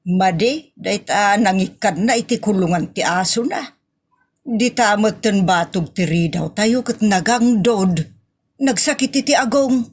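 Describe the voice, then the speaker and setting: A woman's voice: mid-range voice, measured pace, breathy edge. Woman acting a gossipy Ilocano village wife. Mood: complaining. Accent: Filipino Ilocano. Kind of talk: comic audio drama acting.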